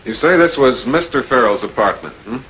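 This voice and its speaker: An actor playing a calm, persistent public prosecutor in a 1940s filmed crime drama, questioning people in a measured, authoritative way. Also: male voice, mid-range voice, muffled recording, lightly acted. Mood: explaining. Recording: noisy old 1940s film soundtrack.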